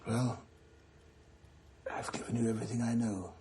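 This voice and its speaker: A man playing a weary, disillusioned Soviet scientist recording a secret confession in a screen drama, hushed, halting and resigned. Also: fairly even intonation, lightly acted. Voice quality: hushed, low. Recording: faint noise, distant cassette-tape monologue.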